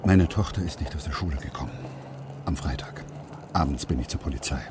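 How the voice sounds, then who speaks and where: An actor playing an anxious, secretive surgeon and father in a radio crime drama, speaking gravely in terse, halting sentences. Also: extremely low pitch, noisy background. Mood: tense, factual.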